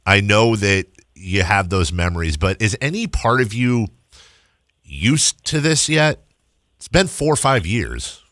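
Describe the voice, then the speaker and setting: A man's voice: lowish voice, lively intonation, a little slow, fairly bright tone, dry recording, clean studio sound. An American man hosting a sports radio show, asking questions in a conversational interview style.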